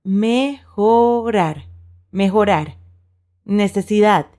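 Lady co-host on a language lesson, mid-pitched voice, reading out words and examples. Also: extremely slow, mellow. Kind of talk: lesson presentation with example sentences.

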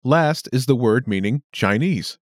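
A man hosting a scripted language lesson with clear, upbeat announcer delivery. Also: medium pitch, animated, measured pace, no room sound, studio quality. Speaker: lesson host.

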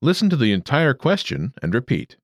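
A male narrator walks a listener through a language lesson in a clear, measured teacher's delivery. His pitch swings widely and expressively from phrase to phrase. The recording is dry and close, with no room sound. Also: huge pitch swings, no room sound. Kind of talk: scripted instructional narration.

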